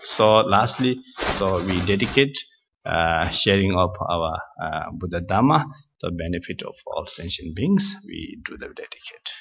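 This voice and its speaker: A man teaching Buddhist practice to a class in English, which is not his first language, speaking haltingly with many fillers and restarts in a gentle, self-deprecating manner. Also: low-pitched, expressive, vocal fry, husky. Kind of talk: Dharma talk to a class. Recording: dull, reverberant hall sound.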